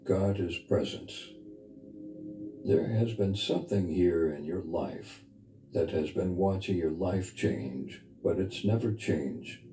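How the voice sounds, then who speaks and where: A man leading a guided meditation as a spiritual teacher, speaking in a soft, intimate, earnest and unhurried way. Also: sits low. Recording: reverberant voice over background music. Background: music.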